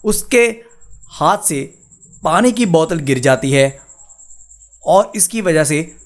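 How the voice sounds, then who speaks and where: A man's voice: fairly high pitch, lively intonation, takes it slowly. A man narrating a scripted moral tale as a storyteller for a cartoon video.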